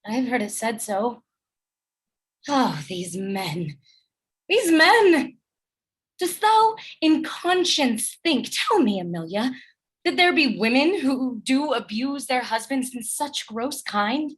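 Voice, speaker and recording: A woman acting a gentle, melancholy noblewoman in a Shakespearean radio play. She speaks slowly in a fairly high, breathy voice, wistful and obedient. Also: sing-song, full character voice. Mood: troubled, innocent.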